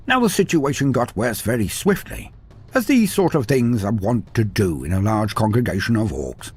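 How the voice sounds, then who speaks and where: A man's voice: mid-range voice, a little mellow, husky. A man giving scripted dramatic narration of fantasy war lore in a theatrical, wry storybook manner.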